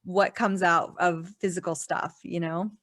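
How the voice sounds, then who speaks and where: A woman's voice: lowish voice, steady tone, unhurried, a bit bright. Chatty woman, many fillers. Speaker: podcast guest, organizer. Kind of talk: casual podcast interview.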